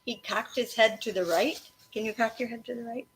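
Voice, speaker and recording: A woman teacher reading a storybook aloud to children expressively, pausing to explain and prompt her listeners. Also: on the high side, rough recording. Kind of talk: interactive children's read-aloud of a storybook, with questions and asides. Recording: faint background noise.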